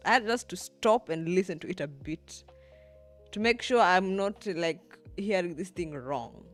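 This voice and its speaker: A young woman in her twenties, live-streaming as she edits and chatting with viewers, thinking aloud with soft laughs. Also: fairly low pitch. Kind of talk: livestream, talking to chat while editing.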